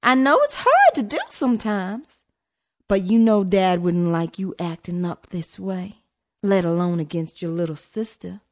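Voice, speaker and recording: A woman voicing a mother of about forty who calms and gently scolds her son. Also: medium pitch, a little slow. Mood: soothing. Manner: soothing, scolding. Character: mother around forty, firm but gentle.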